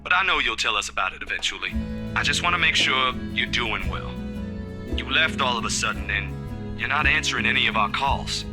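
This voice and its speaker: A man's voice: mid-range voice, lively intonation, a little fast, lightly acted. A man voicing a friendly, caring young man chatting casually with a friend in a visual novel read-aloud. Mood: reassuring. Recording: background guitar music over the voice.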